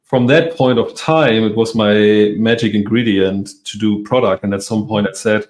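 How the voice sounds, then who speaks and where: European guy, german native, lowish voice, hesitant guest. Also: fairly even intonation, moderate pace, darkish tone. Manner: hesitant, restarts, self-deprecating laughs. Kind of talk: casual interview.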